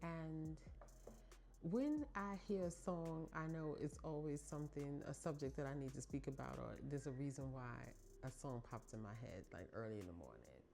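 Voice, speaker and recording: Low-voiced woman host, warm and chatty. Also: lively intonation, moderate pace, vocal fry, faint music behind. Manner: chatty and emphatic, addresses listeners as ladies and y'all. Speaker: podcast host. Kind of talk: casual heartfelt monologue to listeners.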